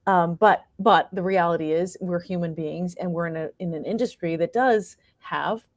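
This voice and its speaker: Middle-aged woman, American accent, lowish pitch, dry recording, conversational, reflective interview guest, animated storyteller. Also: normal speed, dry recording. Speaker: guest, playwright and actor.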